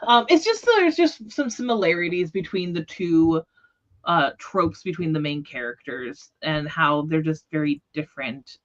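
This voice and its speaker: A woman's voice: sits low, dull audio. A woman hosting a casual book discussion, talking informally and often correcting herself mid-thought. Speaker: book readalong livestream host. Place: online livestream.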